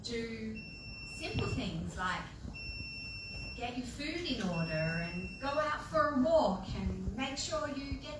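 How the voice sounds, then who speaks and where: A woman, giving a casual live presentation with lots of fillers and lively quoting. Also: a little high. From Australia. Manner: chatty, filler-heavy, animated when quoting people. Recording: echoey room from far off, noisy.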